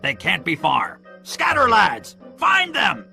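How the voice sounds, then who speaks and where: Raging gravelly pirate villain. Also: male voice, high voice, wildly swooping pitch, takes it slowly, soft background music, voice pushed up, exaggerated voice. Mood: vengeful. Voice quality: gravelly, strained shouting. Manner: barking orders and cursing. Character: furious blind old pirate leader, menacing and bullying.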